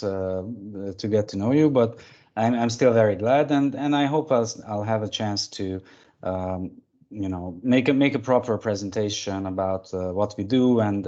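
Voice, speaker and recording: A man from Hungary with a Hungarian accent, giving an informal tech presentation in a halting way with repeated words. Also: fairly low pitch, darkish tone. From Budapest, Hungary. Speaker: guest presenter of a lightning talk. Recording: dull, band-limited online call audio.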